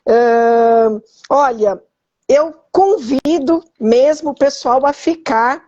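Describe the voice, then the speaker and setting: A woman's voice: high voice, slow pace, mellow, no room sound, dull audio. A woman hosting a live stream, chatty and effusive, with lots of tag questions to her guest and the audience. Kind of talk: casual live-stream conversation.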